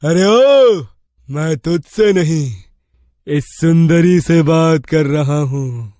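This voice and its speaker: Menacing cartoon bandit boss male actor: slightly high-set voice, drawling taunts, domineering, dry close sound. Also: warm tone, dry recording. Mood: menacing, gloating. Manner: taunting, drawn-out, domineering delivery. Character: menacing, swaggering male bandit chief, later a ghost. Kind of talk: Hindi cartoon horror story acting.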